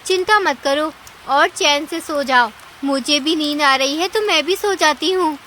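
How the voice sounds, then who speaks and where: Clever, kindly lady bird for a cartoon, voiced by a woman: chatty, coaxing, dramatic, with a high, bright tone. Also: a little slow, slight background noise. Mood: slyly coaxing. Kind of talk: Hindi cartoon story acting.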